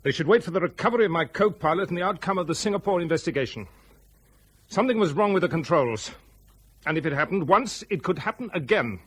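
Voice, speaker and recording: Irritable airline captain, swinging intonation. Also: male voice, fairly high pitch, expressive, fast, a bit bright, slightly rough. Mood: guarded. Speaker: actor in a radio drama. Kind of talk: BBC radio drama acting. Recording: radio drama with faint background noise.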